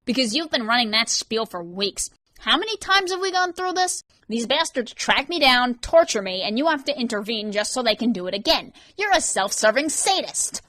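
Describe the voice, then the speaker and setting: A woman's voice: fairly high pitch, sing-song, normal speed, hardly any pauses, bright, forceful tone, no room sound, pitched above the performer's own voice. A woman voicing a foul-mouthed, bitter male soul eater who snarls and swears defensively. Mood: bitter snarling.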